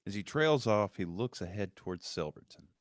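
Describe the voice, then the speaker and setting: A man's voice: fairly low pitch, lively intonation, normal speed, dry recording, clean studio sound. A man reading out stage directions as scripted narration at a table read of a screenplay.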